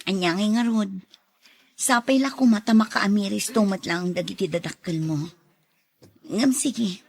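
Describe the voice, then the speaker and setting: A woman with an Ilocano accent playing a loyal, concerned confidante in an audio drama, with a husky voice. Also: medium pitch, a little slow. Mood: supportive. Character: loyal, concerned female confidante of a troubled man. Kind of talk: Ilocano radio drama acting.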